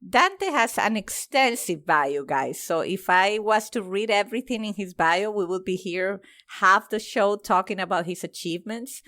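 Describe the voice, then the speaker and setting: A woman's voice: medium pitch, lively intonation, normal speed, hardly any pauses, a bit bright, no echo, clean studio sound. A woman hosting a podcast interview, gushing with praise and often restarting her sentences. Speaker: podcast host.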